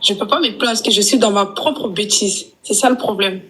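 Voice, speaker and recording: A woman from France calling in, speaking in a halting, resigned way with short confirmations. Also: medium pitch, fairly bright tone, breathy edge, low-quality recording. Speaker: caller.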